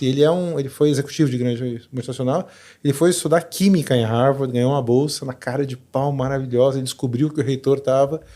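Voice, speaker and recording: Reflective man with a mellow voice. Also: medium pitch. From Brazil. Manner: reflective, lots of fillers and restarts. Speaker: podcast guest, learning specialist. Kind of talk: conversational interview.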